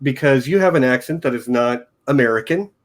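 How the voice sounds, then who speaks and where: A man hosting a loose, unscripted chat with a guest. He talks in a relaxed, joking way, wandering through his thoughts. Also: medium pitch, brisk. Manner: relaxed, joking, casual rambling. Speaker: podcast host. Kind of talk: informal interview chat.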